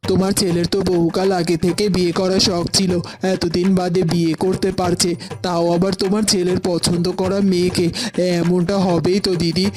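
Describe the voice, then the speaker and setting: A man's voice: high voice, little pitch movement, moderate pace, close to an everyday voice. A man voicing a gossipy, needling village aunt in a cartoon, with sly colloquial remarks. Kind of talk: cartoon village drama acting.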